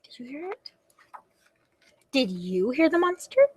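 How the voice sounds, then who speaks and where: A little storybook dragon, acted out by a woman, proclaims its bravery in a high, theatrical voice. It is grand and boastful, stretching out its words, yet easily spooked. The sound is dry and close. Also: lively intonation, fairly bright tone, no room sound, full character voice. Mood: alarmed. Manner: grandiose, drawn-out declarations and exclamations. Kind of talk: storybook read-aloud.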